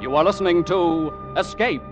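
A man's voice: sits high, normal speed, over music. An American man reading scripted promos as a 1940s radio announcer, in a polished, emphatic showman style. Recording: muffled vintage radio recording.

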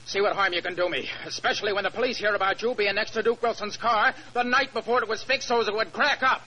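Young race driver, stubborn and combative, highish forceful voice, vintage 1940s radio drama. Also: male voice, fast. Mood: angry, defiant. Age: young adult. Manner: insistent, combative. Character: hot-headed, stubborn young male racing driver. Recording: noisy old radio recording.

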